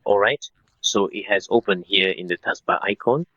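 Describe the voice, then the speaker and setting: A man in his thirties narrating a step-by-step software tutorial in an instructional way, speaking directly to viewers. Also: on the low side, moderate pace, slightly rough, no room sound, lo-fi sound. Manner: instructional, addresses viewers as guys.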